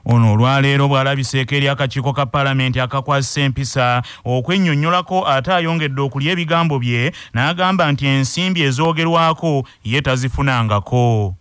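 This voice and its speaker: Ugandan guy reading radio news fast, smooth voice, dry studio sound. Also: mid-range voice, a bit bright, dry recording. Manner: brisk formal newsreader delivery. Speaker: news anchor.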